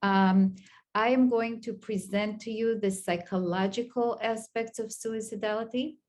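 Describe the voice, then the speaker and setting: A middle-aged woman from Israel with an Israeli accent, a clinical psychologist giving an online lecture in a halting way with many pauses and fillers. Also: fairly high pitch, fairly even intonation, a little mellow.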